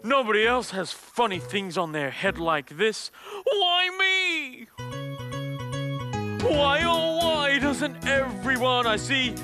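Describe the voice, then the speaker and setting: A woman voicing a gentle, timid young male moose who sounds wistful and eager. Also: high-pitched, very animated, slow pace, music in the background, heavily acted. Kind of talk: children's storybook acting.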